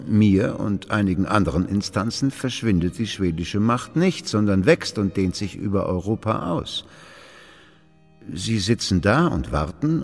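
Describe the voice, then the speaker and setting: A man's voice: on the low side, darkish tone, full character voice. An actor playing a patronizing, insistent royal statesman in a radio drama, speaking in a pompous, declamatory manner. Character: patronizing male royal statesman pressing a queen to marry. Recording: long hall reverb, music behind.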